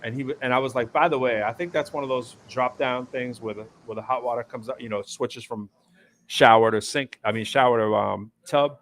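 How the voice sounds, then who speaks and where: A man's voice: medium pitch, brisk, fairly bright tone, a little hiss or hum. A man hosting a live stream show, talking casually and telling long rambling stories with lots of fillers and restarts. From United States East Coast. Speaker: show host. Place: hotel area in New York City.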